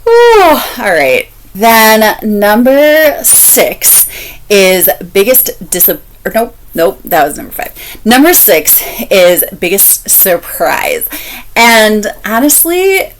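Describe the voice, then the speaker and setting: A woman chatting casually as a book-review vlogger, candid and expressive, repeating phrases for emphasis. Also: medium pitch, slow pace, poor audio. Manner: candid, expressive, laughs while talking.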